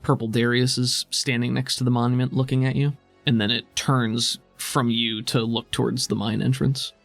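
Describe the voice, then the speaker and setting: A man's voice: medium pitch, level tone, normal speed, creaky, a bit bright, no echo. A man working as game master, describing scenes in a conversational, improvised way. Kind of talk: improvised tabletop game narration. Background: music underneath.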